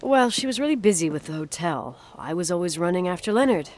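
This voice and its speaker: A woman's voice: fairly low pitch, expressive, fast talker, a little mellow, close to an everyday voice. A woman playing a wry, guarded character in a game, speaking in a hesitant, dryly sarcastic, naturalistic conversational way. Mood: reflective, wry. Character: wry, guarded adult woman recalling her childhood.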